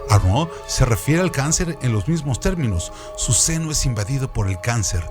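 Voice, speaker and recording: A man's voice: mid-range voice, animated, normal speed. A man reading a scripted literary essay in a formal, didactic manner, as a radio presenter. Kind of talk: scripted literary essay narration with question hooks. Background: background music.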